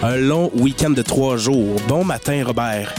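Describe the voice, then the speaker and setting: A man's voice: medium pitch, measured pace, a little mellow. A man with a Quebec French accent and a head cold, chatting casually as a morning radio host. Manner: chatty, colloquial. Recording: music playing behind the voice. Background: music.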